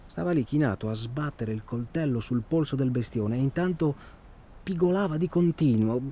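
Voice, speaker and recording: A man's voice: mid-range voice, normal speed, mellow, lightly acted. A man acting in a radio drama as a well-spoken, self-absorbed hunting traveller who talks insistently and at length. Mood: hushed, reflective. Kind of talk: naturalistic radio drama dialogue. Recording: clear ambient noise behind.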